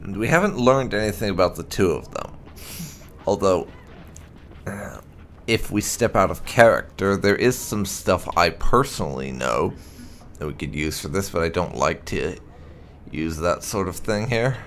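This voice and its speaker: A man hosting a gaming video, speaking in a halting, deliberate way with drawn-out emphasis. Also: sits low, lively intonation, a bit bright, slight background noise. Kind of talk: casual let's-play commentary.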